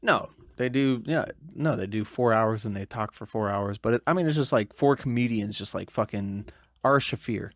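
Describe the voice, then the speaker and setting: A man's voice: lowish voice, moderate pace, no room sound, clean studio sound. A man with a speech impediment affecting his R sounds, co-hosting a podcast in loose, rambling casual chat. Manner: loose, swears freely, rambling. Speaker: podcast co-host.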